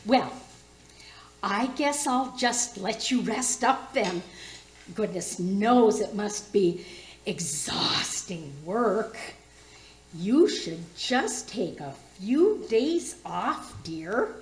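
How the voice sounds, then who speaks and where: A woman's voice: mid-range voice, expressive, very slow. A woman playing a nosy, sly older neighbour in a comic radio play, with a folksy, gushing, sing-song manner. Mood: sugary. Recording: roomy, echoing recording.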